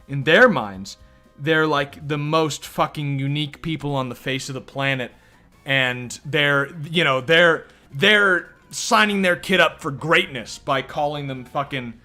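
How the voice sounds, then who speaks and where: A man streaming a video game, chatting loosely and swearing often as he answers his viewers. His voice is bright and forward, with faint game music under it. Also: medium pitch. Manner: profane, rambling, reacting to chat. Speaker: let's play streamer. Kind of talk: casual gameplay commentary.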